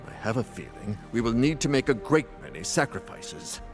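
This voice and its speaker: A man playing a determined, authoritative war council leader in a fantasy game, with deliberate delivery. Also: mid-range voice, very animated, soft background music, close to an everyday voice. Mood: weighty. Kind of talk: dramatic fantasy game cutscene acting.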